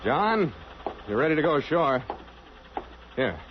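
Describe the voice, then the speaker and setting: An actor playing a grave, troubled ship's captain who also narrates, in old-time radio drama style, clipped and earnest. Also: male voice, fairly high pitch, sing-song, a little slow, background noise. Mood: businesslike, restrained. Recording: muffled old radio recording with music.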